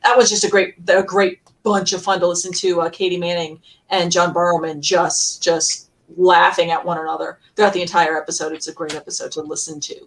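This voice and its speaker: A woman's voice: fairly low pitch, flat delivery, fairly quick, hardly any pauses. A woman hosting a casual fan panel, talking in a chatty, rambling way. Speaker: panel host.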